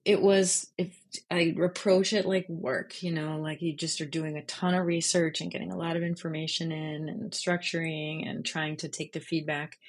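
Woman, low husky voice. Interview guest, thoughtful and candid, conversational delivery. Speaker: interview guest, freelance journalist and writer. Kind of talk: casual reflective interview chat.

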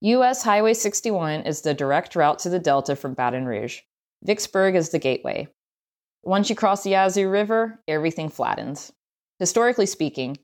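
A woman with a Southern US accent reading a scripted travel essay as narration. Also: fairly low pitch. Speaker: writer, tour guide and historian reading own essay.

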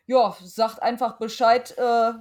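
A woman's voice: a little high, level tone, slow pace, a little mellow. A woman chatting casually as a participant in a podcast. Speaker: podcast co-host or guest.

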